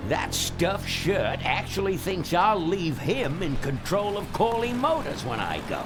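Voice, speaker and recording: A man playing a feisty old company boss in a video game, with a gravelly voice and an exuberant manner. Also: high-pitched, big pitch swings, unhurried. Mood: scornful. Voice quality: creaky, gravelly. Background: music, vehicle.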